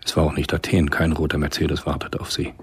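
An actor narrating a spy thriller radio play as a grave storyteller, with a rough, husky voice and a measured, sober delivery. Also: male voice, a bass voice, completely flat delivery, exaggerated voice. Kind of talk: scripted radio drama narration.

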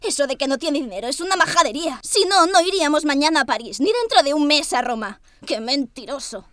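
A woman's voice: high voice, animated, fast, fairly bright tone, no room sound, clean studio sound. An actress playing a vain, spoiled wealthy young lady who complains petulantly in a radio drama. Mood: indignant.

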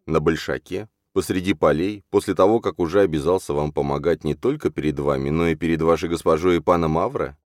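Man acting a courteous, weary, low-voiced healer. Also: brisk, few pauses, darkish tone, no echo, studio quality, natural voice. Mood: resolute. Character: courteous, weary male healer and coachman, stubbornly dutiful. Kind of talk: audiobook character voice.

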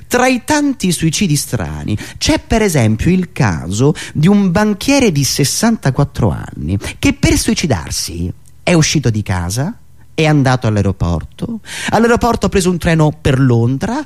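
A man touched by speech, delivering a theatrical satirical political monologue in a sardonic, rhetorical manner, with deliberate pauses and repetitions. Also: high voice. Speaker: satirical monologist, radio show host.

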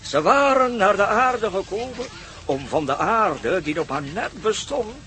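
Slow, high-pitched legend teller. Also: male voice, animated, pushed and bright, a little hiss or hum, exaggerated voice. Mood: wondrous, solemn. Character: solemn storyteller recounting an ancient legend of blue gods. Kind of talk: slow, dramatic radio play storytelling.

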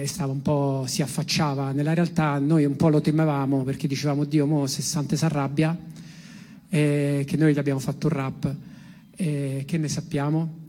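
A man recounts memories informally. His voice sits a little high and moves within a very narrow melody, halting as he looks for the next word. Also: a little mellow. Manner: colloquial, halting, searching for words. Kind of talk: informal personal recollection.

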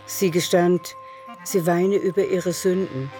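A woman's voice: on the low side, slow pace. Woman narrating a literary radio drama. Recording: music behind.

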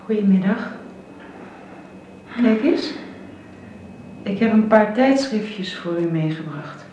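An actress in her twenties playing a kind, gentle young woman of twenty-two who speaks in a reassuring way, on a dull old radio drama recording. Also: mid-range voice, animated, takes it slowly, a little hiss or hum. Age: 20s.

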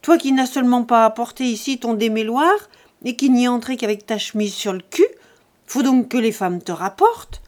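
A woman doing a screaming, insulting peasant wife. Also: close to an everyday voice. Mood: screaming insults. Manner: shouting abuse, fast and shrill.

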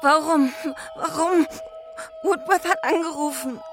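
An actress playing a dazed, frightened woman in a radio drama, halting and stammering, distressed and near tears. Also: sits high. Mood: confused, distressed. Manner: halting, stammering, repeating words. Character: dazed, frightened woman waking disoriented and alone. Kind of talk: German radio drama acting. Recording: music clearly behind the voice.

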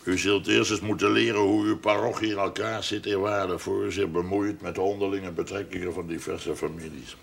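An actor playing a stern, condescending village notable in a 1970s television drama, blunt and formal, with a gravelly, husky voice. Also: male voice, deep voice, steady tone, a little fast, few pauses, exaggerated voice. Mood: stern, disapproving.

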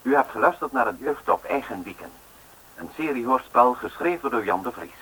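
A man's voice: medium pitch, huge pitch swings, a little fast, gravelly, fairly bright tone, breathy edge, poor audio. A man working as a radio announcer, reading scripted credits in a formal, list-like delivery.

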